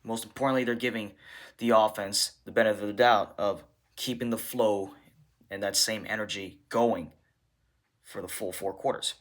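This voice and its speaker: A man speaking as a football coach at a press conference, answering questions in deliberate, halting short bursts. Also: on the low side, fairly even intonation, fairly bright tone.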